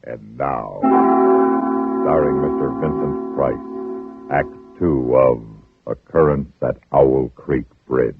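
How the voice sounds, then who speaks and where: Loud plucked-string music plays under a muffled old radio recording of a male announcer. His voice is extremely deep and gravelly, delivering a portentous drama introduction. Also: takes it slowly.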